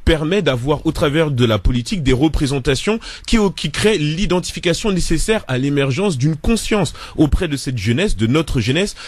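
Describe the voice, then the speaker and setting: A man's voice: a little high, animated, moderate pace, hardly any pauses, no echo. A man from France, a guest in a studio debate, making his point in long winding sentences with restarts.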